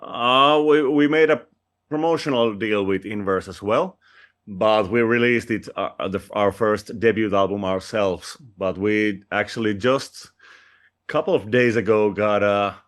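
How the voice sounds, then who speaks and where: Finnish-accented man, low voice. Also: measured pace, fairly bright tone. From Finland. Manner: friendly, with restarts and light laughs. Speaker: musician guest. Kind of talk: casual interview chat.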